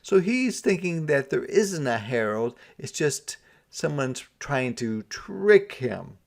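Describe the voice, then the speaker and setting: A man reading a children's storybook aloud as a teacher, deliberately explaining words and events as he goes. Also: on the high side, very animated, gravelly.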